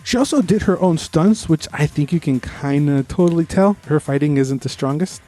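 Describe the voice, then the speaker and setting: A man's voice: fairly high pitch, moderate pace, warm tone, faint music behind. A man co-hosting a podcast, giving opinionated, informal review chat with bits of trivia. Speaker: podcast co-host.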